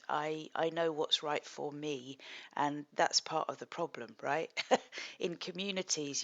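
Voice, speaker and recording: A middle-aged woman, a psychotherapist, talking calmly and thoughtfully in a slightly husky voice as she hosts a creative project. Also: sits low, lo-fi sound. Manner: gentle, thoughtful, with soft laughs and pauses. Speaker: psychotherapist and art project host. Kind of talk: calm reflective vlog talk.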